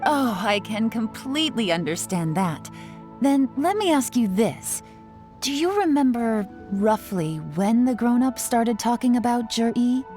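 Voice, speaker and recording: An actress playing a poised, shrewd young woman investigator in a video game, measured and slightly theatrical, with courteous phrasing. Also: fairly high pitch, expressive, a little mellow, soft background music. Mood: gentle, probing. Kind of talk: scripted video game voice acting.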